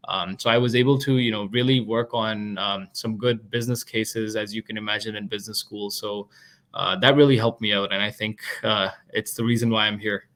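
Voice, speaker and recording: A man in his twenties, a podcast guest and product manager, talking in a relaxed, chatty way. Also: lowish voice, brisk. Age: 20s. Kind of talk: casual interview talk.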